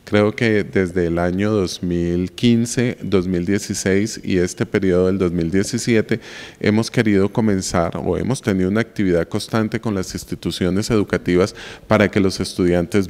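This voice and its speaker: A deep-voiced man in his forties explains things at an unhurried pace, often checking that the listener follows. He talks into a handheld interview mic inside an old house, and the room adds a long reverb tail. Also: darkish tone. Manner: reflective, explanatory, frequent "¿sí?" checks and restarts. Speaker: university lecturer and museum guide. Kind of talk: interview answers. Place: indoor room of a colonial house museum. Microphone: handheld mic held by interviewer.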